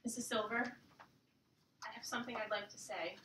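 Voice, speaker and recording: A woman's voice: mid-range voice, fairly even intonation, a little fast, very noisy, lightly acted. A woman playing a shy, earnest older bachelor neighbour in love in a staged musical reading. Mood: nervous, confessing. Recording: echoey distant room recording.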